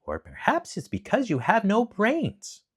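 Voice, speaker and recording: A man's voice: high voice, huge pitch swings, a little slow, breathy edge, pitched above the performer's own voice, full character voice. A man voicing a brainless, opinionated male scarecrow with emphatic delivery.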